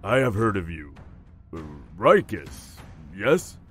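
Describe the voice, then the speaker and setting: An actor playing a cautious, placating dwarf in a fantasy audio drama, with a wary, conciliatory manner. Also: male voice, fairly low pitch, sing-song, takes it slowly, fairly bright tone, soft background music, heavily acted.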